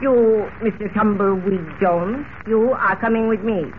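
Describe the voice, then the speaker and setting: A menacing Egyptian gunman speaks in a high, deliberate voice, formal and imperious. It is classic radio serial villainy, heard through a thin, boxy old recording with a light hiss. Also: narrow, tinny audio. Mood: coldly formal.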